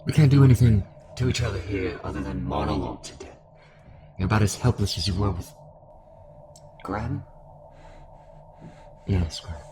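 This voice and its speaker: A man playing a calm, sinister cult fanatic fused with a devouring entity, taunting in measured monologue in an audio drama. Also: fairly low pitch, heavy background noise, lightly acted. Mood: taunting, smug. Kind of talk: dramatic audio roleplay acting. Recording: music under the voice.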